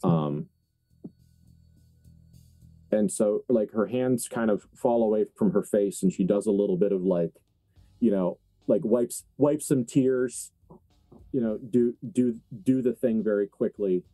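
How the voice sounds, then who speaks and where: A low-pitched man with a very dark, mellow voice runs a tabletop horror game. He narrates slowly and deliberately, often halting to search for words, with faint music underneath. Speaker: game master.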